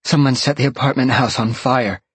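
A man's voice: medium pitch, moderate pace, husky, no echo, voice pushed up. A man voicing a brave, resolute young woman in an audiobook, urgent in manner. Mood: urgent, panting.

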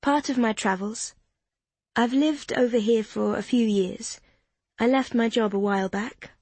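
A woman voicing a young woman far from home who talks gently and lightly while keeping her past to herself, in a slightly breathy voice. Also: fairly high pitch, measured pace, no echo. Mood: gentle. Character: warm young woman abroad, guarded about her past.

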